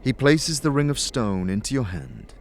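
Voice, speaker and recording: A man narrating a fantasy audio drama as game master, deliberate and suspenseful in his scripted delivery. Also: mid-range voice, very animated, slight background noise.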